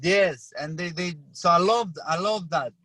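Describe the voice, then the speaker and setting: A young man with an Albanian accent, whose first language is Albanian, telling a story as a podcast guest, animated and full of restarts. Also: high voice, unhurried, dry recording. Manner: animated, acts out his own past lines with frequent restarts. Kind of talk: casual storytelling.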